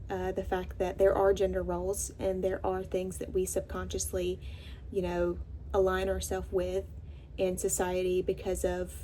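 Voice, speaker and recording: A woman filmmaker gives an informal introduction with faint noise behind her. Her voice is mellow and relaxed, fairly even in pitch, and she pauses hesitantly as she goes. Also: rough recording. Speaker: filmmaker and presenter. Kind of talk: informal spoken documentary introduction.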